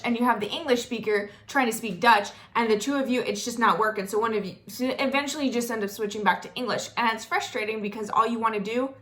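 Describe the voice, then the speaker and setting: A young American woman talks casually to the camera in a bright, forceful voice. Her pitch sits a little high, and she keeps the talk loose and chatty, full of fillers and asides. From Florida, United States. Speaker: vlogger.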